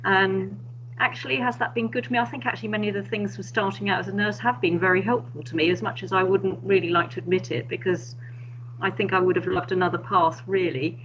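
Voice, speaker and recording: A woman's voice: medium pitch, fairly even intonation, quick pace, a bit bright, dull audio, a little hiss or hum. A middle-aged woman speaking as a panelist, thoughtful and candid, talking fluently about her career. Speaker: panelist, nursing academic. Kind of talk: panel discussion on video call.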